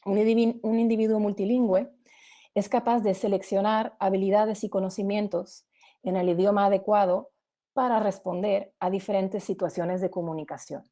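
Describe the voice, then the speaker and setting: A woman's voice: mid-range voice, steady tone, moderate pace, mellow. A woman giving an explanatory webinar presentation in a didactic way, explaining with examples.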